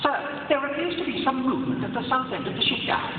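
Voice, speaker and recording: An actor playing a prim, worried, formal protocol droid, fussy and polite, in a live stage audio drama. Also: male voice, very high-pitched, expressive, fast, music in the background. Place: very large arena stage. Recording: echoey arena, distant, tinny, noisy.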